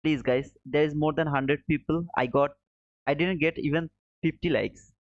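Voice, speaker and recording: A man's voice: fairly even intonation, normal speed, no room sound. A man from Bangladesh, hosting a casual trading livestream, reading viewer chat and calling viewers brother. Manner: friendly and chatty, calls viewers brother, says boom. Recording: dull, slightly muffled, dry.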